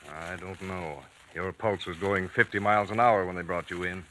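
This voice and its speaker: An actor playing a middle-aged plant doctor in an old radio drama, fatherly, bossy and gently scolding. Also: deep voice, fairly even intonation, moderate pace. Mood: brisk concern. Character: brisk, fatherly male plant doctor, gruffly reassuring. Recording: noisy vintage radio recording.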